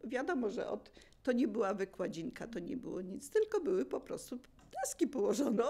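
An older woman casually reminiscing as a local resident, in a chuckling, animated storytelling manner. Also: a little high. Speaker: local resident sharing memories.

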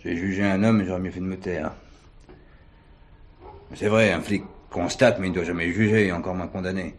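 A deep-voiced serious detective. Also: male voice, fairly even intonation, a little fast, a little hiss or hum. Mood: remorseful. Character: serious police detective, probing, troubled by conscience. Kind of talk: French radio play acting.

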